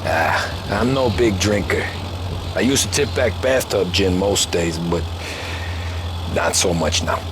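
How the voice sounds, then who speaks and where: An actor playing a plainspoken, wary cabbie turned gangster in video game dialogue. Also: male voice, sits low, slow pace. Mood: polite, curious. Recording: clear car engine noise.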